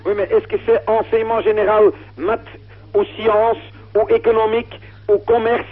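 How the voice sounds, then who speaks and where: A man's voice: high voice, level tone, normal speed. A man, an army officer politely and insistently explaining a form over the phone. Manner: polite, literal, patiently insistent. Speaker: army recruitment officer, lieutenant. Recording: thin, muffled phone line.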